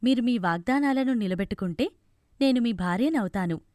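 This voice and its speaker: Woman doing a young fairy lady from a storybook, high voice, guarded, formal n insistent. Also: animated, a little fast, darkish tone, dry recording, studio quality, close to an everyday voice. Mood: firm, insistent. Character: young fairy woman, guarded, setting firm conditions for marriage.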